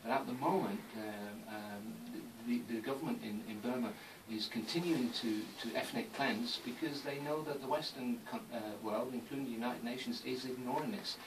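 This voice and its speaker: A man's voice: mid-range voice, steady tone, normal speed, hardly any pauses. A man speaking as a radio interview guest, explaining emphatically and repeating phrases as he goes. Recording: distant mic, clearly noisy background.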